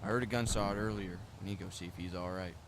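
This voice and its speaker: A man's voice: sits low, fairly even intonation, fast talker, noisy background. A man playing a brash, grumbling bomber pilot captain in a radio drama. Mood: brisk, concerned.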